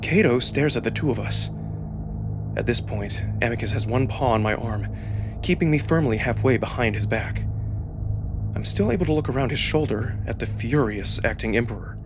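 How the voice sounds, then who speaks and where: A man with a husky voice reading first-person fiction aloud as a dramatic narrator. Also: mid-range voice, moderate pace. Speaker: narrator and performer of a visual novel reading. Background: background music.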